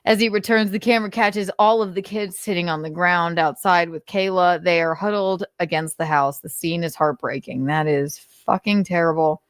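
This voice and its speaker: A woman's voice: sits low, no echo, studio quality. A woman hosting a livestream, reading notes aloud and adding blunt, sweary, theatrical and sarcastic commentary. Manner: blunt, sweary, indignant asides. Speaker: livestream host.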